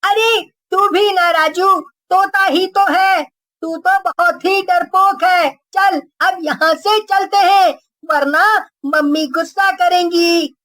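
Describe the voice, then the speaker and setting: Woman as a very high-pitched, bossy little boy. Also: steady tone, slow pace, fairly bright tone, exaggerated voice. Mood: teasing, dismissive. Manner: dismissive, childlike scolding. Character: bossy, braver young brother of a timid boy.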